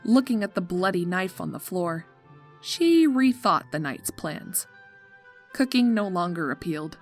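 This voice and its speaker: A woman narrating a scripted dark comedy story in a dry storytelling manner. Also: on the low side, big pitch swings, measured pace. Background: music.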